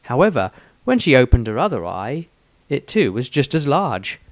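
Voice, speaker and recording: Male narrator for fantasy audiobook: lively, varied intonation, scripted storytelling, dry recording. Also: mid-range voice, moderate pace, no echo. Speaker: author and narrator.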